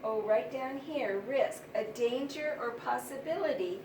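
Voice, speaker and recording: A woman teaching young children, explaining things simply and deliberately with plenty of repetition. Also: high voice. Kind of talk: read-aloud vocabulary lesson for young children. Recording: roomy, distant mic, noisy background.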